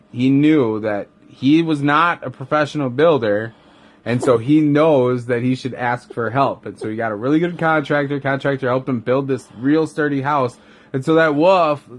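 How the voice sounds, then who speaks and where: A man improvising a bedtime story as a father, casual and rambling, with jokey asides. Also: mid-range voice.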